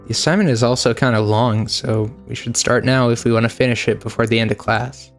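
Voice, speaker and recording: A loud, cheerful schoolgirl full of eager bounce, put on by a man reading aloud. She talks fast and friendly, her voice lifted high above a plain speaking range. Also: fairly even intonation, soft background music, lightly acted. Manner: bouncy, eager, laughs loudly.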